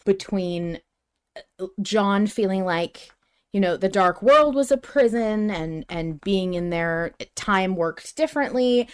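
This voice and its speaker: A woman co-hosting a podcast in casual fan discussion, speaking emphatically and quick to laugh. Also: lowish voice, clear, resonant tone. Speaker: podcast co-host.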